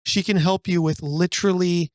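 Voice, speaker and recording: Slightly hoarse man, higher voice, dry. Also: steady tone, normal speed, a little mellow, no echo. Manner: rambling, searching for words, many fillers. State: losing his voice from a cold. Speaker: brokerage podcast co-host. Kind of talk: casual business podcast chat.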